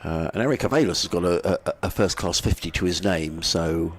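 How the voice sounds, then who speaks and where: A man's voice: low-pitched, a little fast, breathy edge. A middle-aged man giving chatty cricket commentary, friendly and rambling, mixing ball-by-ball calls with asides. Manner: rambling, friendly, lots of fillers and restarts.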